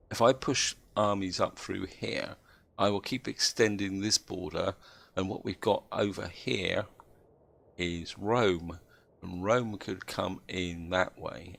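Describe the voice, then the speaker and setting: A man with a cold and a slightly croaky voice, calmly explaining his moves as he plays a strategy game. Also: low-pitched. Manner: steady, explanatory, thinks aloud. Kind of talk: gameplay commentary.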